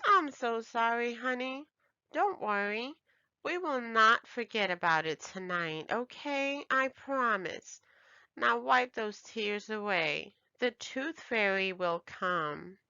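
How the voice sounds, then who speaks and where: A woman voicing a caring, easily amazed mother in a children's storybook, expressive and gently encouraging. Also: on the high side, takes it slowly, clear, resonant tone, no room sound, dull audio, pitched above the performer's own voice, exaggerated voice. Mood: concerned, soothing.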